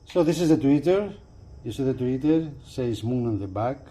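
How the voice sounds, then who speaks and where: A man giving a casual, unscripted product walkthrough as a reviewer, with halting delivery and repeated phrases. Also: mid-range voice, lively intonation, dark tone, slight background noise.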